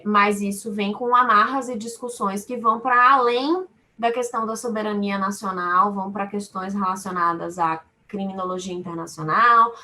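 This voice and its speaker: A woman's voice: medium pitch, bright, forceful tone. A woman with a Salvador Brazilian accent giving an unscripted explanatory webinar talk in a didactic way. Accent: Brazilian, Salvador (Bahia). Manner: didactic, explains with everyday examples and repeated phrasing. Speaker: panel speaker, law firm lawyer.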